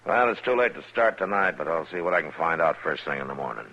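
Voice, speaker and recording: An actor playing a steady, weary town marshal in an old-time Western radio drama, dry and patient. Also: male voice, low voice, quick pace, slight background noise. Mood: calm, measured. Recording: dull vintage radio recording, saloon chatter behind.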